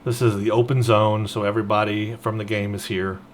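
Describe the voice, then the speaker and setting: A man with a fairly low voice hosts a gameplay video. He talks casually, thinking aloud and tossing in chatty asides. There is some noise in the recording. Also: flat delivery, fairly quick. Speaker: gaming video host.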